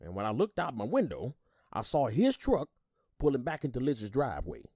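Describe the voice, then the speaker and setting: A man's voice: medium pitch, wildly swooping pitch, moderate pace, dark tone, no echo, clean studio sound. A man playing an older retired army sergeant on the witness stand in an audio drama, folksy and gossipy, rambling and repeating himself. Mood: defensive, folksy. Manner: folksy, rambling, repeats words, hums agreement. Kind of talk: audio drama acting, courtroom testimony.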